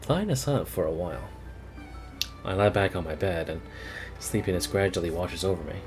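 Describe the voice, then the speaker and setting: A man with a low voice narrates a game story in the first person. He reads it aloud as a storyteller, with faint music behind him. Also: lively intonation, a little fast.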